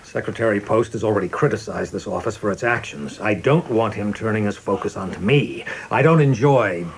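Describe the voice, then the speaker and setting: A low male voice put on by an actor comes through noisy ambience in a radio drama. He is a cautious, self-protective boss, fussy and hesitant, given to small exclamations. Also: fairly quick, close to an everyday voice. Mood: wary, fretful. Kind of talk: radio play acting. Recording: clearly noisy background ambience.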